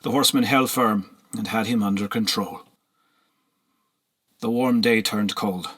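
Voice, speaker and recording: A man narrating a historical novel as an audiobook in a measured, solemn storytelling manner. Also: on the low side, a bit bright, breathy edge.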